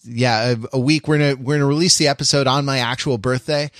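A man chatting casually as a podcast co-host, halting and often repeating his words as he goes. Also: medium pitch, steady tone, dry recording, clean studio sound. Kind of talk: casual chat.

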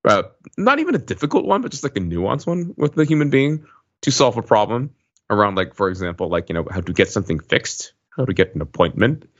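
A man's voice: fairly low pitch, big pitch swings, a little fast. A man co-hosting a podcast over a video call in casual, wry conversation. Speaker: podcast co-host. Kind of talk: casual chat. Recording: slightly dull video-call sound.

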